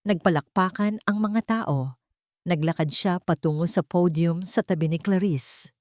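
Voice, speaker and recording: A woman narrates a dramatic love story in a steady, deliberate manner. Her voice sits low, and the recording is dry and close with no room sound. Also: no echo. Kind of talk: scripted audiobook narration.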